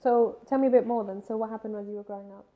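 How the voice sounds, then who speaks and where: A woman's voice: a little high, steady tone, rapid-fire. A woman hosting a talk show and interviewing a guest with friendly, curious questions. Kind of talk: interview chat. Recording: tinny phone-like sound.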